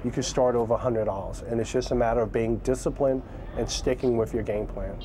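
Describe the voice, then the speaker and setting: A man talks in a relaxed, conversational way with a mellow, warm tone. Faint ambient noise sits in the background. He sounds like a business owner answering an interviewer. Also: mid-range voice, brisk. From Liberia. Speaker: company co-founder.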